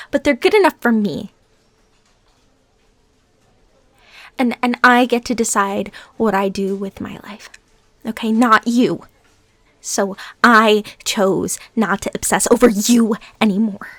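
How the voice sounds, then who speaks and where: A woman playing a lovesick, bitter ex-stalker fighting her obsession, in an emotional, halting audio roleplay monologue. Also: mid-range voice, no echo. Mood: defensive, hurt. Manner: halting, emotional, swinging between hushed whispers and outbursts.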